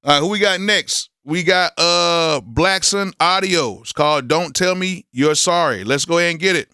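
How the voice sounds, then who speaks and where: A hoarse man talks loosely through a live beat review, reacting with blunt verdicts and plenty of exclamations. His voice is bright and forceful despite the wear. The sound is close and dry, with no room around it. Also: fairly high pitch, moderate pace, no echo. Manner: candid, blunt, full of exclamations. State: hoarse, losing his voice from yelling. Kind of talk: casual live-stream commentary.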